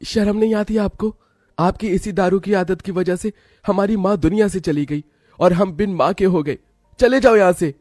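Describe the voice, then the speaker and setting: Man doing devoted poor brother, cartoon, earnest, higher voice, dry sound, soft music behind. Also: normal speed, no echo, close to an everyday voice. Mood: angry. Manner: earnest, emotional. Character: two poor devoted older brothers, selfless. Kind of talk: cartoon voice acting.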